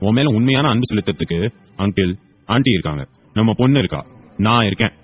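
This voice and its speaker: A man's voice: fairly low pitch, animated, fast talker, faint music behind. A man playing a protective, affectionate young husband and father in an audio drama, with a reassuring manner. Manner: reassuring, soft-hearted, playful with child. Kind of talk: Tamil audio story acting.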